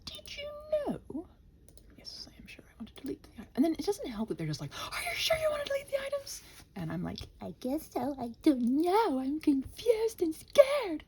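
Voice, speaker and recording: Female ASMR host, relaxed and dark-toned yet lively in pitch; distracted, playful self-talk that drifts into whispering. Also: moderate pace. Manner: scattered, muttering to herself, often drops into whispers; playfully talks to the computer and quotes imagined exchanges. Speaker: channel host rambling while working. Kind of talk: casual rambling body-doubling ASMR chat.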